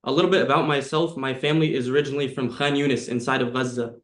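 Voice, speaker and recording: A man's voice: mid-range voice, steady tone, quick pace, a bit bright. Young adult male, American accent, sincere prepared endorsement, roomy echoing sound. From United States. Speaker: supporter giving an endorsement. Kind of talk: prepared speech, earnest testimonial.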